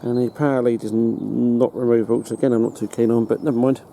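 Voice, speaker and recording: A British man giving a casual hands-on demonstration as a hobbyist, in a halting, matter-of-fact way. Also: medium pitch, level tone, vocal fry, warm tone. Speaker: hobbyist presenter. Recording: noticeable background noise.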